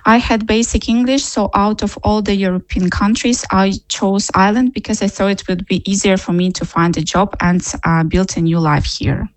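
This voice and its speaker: A woman from Ukraine, speaking earnestly in a radio interview, often pausing with "uh". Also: mid-range voice, steady tone, no echo, rough recording. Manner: earnest, with frequent uh fillers. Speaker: interviewee, tourism manager.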